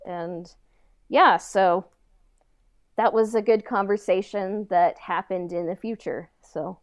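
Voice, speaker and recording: A woman's voice: medium pitch, slow pace. A woman co-hosting a podcast in casual chat, speaking very informally and peppering her talk with "like". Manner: informal, frequent "like". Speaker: podcast co-host.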